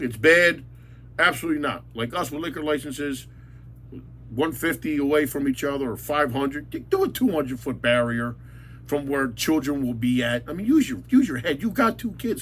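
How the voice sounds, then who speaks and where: A man's voice: on the high side, expressive, moderate pace, slight background noise. A man ranting angrily about local matters, blunt and insulting, repeating words for emphasis. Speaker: local commentator. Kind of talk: angry ranting monologue.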